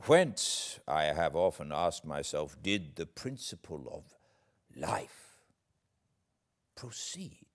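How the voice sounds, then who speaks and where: A British man reading a classic novel aloud as an audiobook narrator, in a measured, theatrical and expressive way with deliberate pauses. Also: very deep voice. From Britain.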